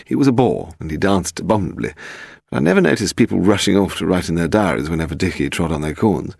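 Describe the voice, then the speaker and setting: A man's voice: low voice, lively intonation, fast talker, vocal fry, a little mellow, slightly rough, no room sound, studio quality, exaggerated voice. A man voicing a haughty, pedantic English aristocrat, a frail lady in her eighties, in an upper-class accent for an audiobook story. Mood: malicious, dismissive. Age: 80s. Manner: pedantic, grand, derisive, demanding. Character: imperious frail aristocratic old lady of eighty-five, malicious.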